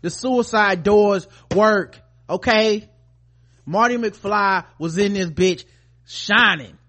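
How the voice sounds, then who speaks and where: A man's voice: sits high, slow, fairly bright tone. An American man co-hosting a podcast in casual, profane, slangy chat, playfully addressing his listeners. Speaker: podcast co-host.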